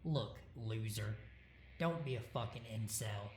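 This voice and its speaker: A man voicing a brash, cocky young man who talks loud and crude. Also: on the low side, takes it slowly, echoey room, a little hiss or hum. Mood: bossy. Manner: loud, swaggering, profane.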